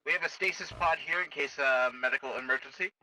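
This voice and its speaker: A man's voice: on the high side, fast talker, sharp tone, a little hiss or hum, rough recording. A man in an improvised online game roleplay, giving procedural orders as a facility guard in a clipped, matter-of-fact way.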